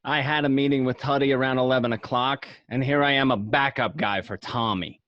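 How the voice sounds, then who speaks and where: A man's voice: mid-range voice, fairly even intonation, a little fast, natural voice. A man playing a restless young mobster, recounting events in a casual, confiding way in a dramatic reading. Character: restless young male mobster narrating his past. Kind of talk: dramatic table read. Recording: dull lo-fi home call audio.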